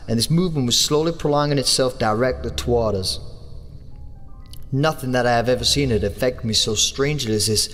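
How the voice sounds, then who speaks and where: An English man reading a horror story aloud as an audiobook narrator, in a measured storytelling manner. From England. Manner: measured storytelling, lifts his pitch for characters' lines. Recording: long hall reverb with soft music under.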